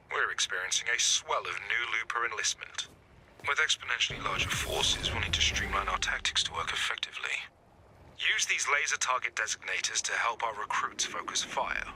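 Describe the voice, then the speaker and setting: An actor playing a measured, cautious male military resistance commander in a video game, formal, precise and serious. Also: fairly low pitch, noisy background, close to an everyday voice. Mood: pleased, businesslike.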